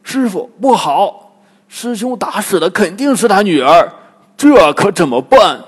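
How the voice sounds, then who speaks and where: A man voicing a flustered, complaining pig disciple in a storybook tale, loud and agitated, with long reverb. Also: sits high, sing-song, unhurried, voice pushed up, heavily acted. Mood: panicked.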